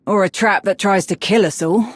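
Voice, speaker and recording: A woman's voice: medium pitch, normal speed, dry recording, lightly acted. Game companion, female actor: wary, blunt soldier type, mid-range voice, sardonic, close and dry. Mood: wary, wry. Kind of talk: video game voice acting.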